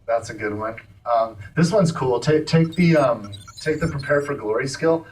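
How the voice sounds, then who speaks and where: A man's voice: mid-range voice, moderate pace, darkish tone, far from the mic, rough recording. Casual man explaining a game demo. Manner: casual, hesitant, many fillers. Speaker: game developer. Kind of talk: live demo commentary.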